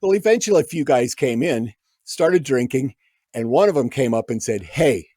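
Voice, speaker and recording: A man's voice: medium pitch, moderate pace, husky, no echo, studio quality. A man telling stories as a guest musician on a podcast, acting out the people he quotes. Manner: animated anecdote teller, acts out quoted voices. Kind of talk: casual storytelling.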